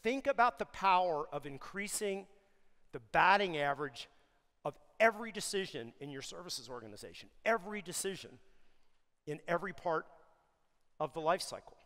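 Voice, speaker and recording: Emphatic man giving a keynote on a big stage. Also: high voice. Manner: energetic, emphatic, speeds up and slows down for stress. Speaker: keynote speaker for an industry association. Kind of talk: conference keynote presentation. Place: large conference stage. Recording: long hall reverb of a big venue.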